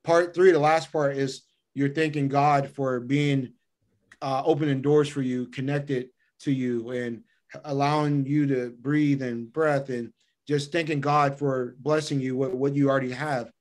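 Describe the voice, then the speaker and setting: A man's voice: medium pitch, steady tone, unhurried, a little mellow. A middle-aged man speaking as a podcast guest over a video call, explaining his routines conversationally and repeating phrases for emphasis. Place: indoor room by a window, on a video call.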